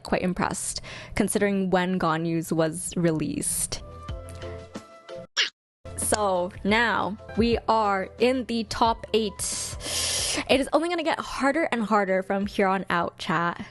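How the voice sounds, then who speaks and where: A woman streamer chatting casually with her viewers, commenting in an expressive, gushing way and thinking her choices through out loud. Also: mid-range voice. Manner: expressive, gushing, deliberating aloud. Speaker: game streamer and host.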